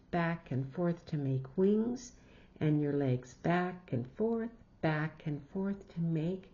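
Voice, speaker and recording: Female storybook reader, unhurried and expressive, slightly low-pitched, pausing between short phrases. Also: muffled recording. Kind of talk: picture-book read-aloud with asides to young listeners.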